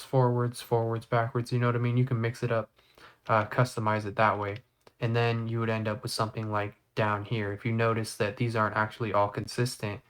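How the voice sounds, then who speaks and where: A man giving an informal, rambling tutorial in a casual speaking style. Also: medium pitch. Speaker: video editing tutorial presenter.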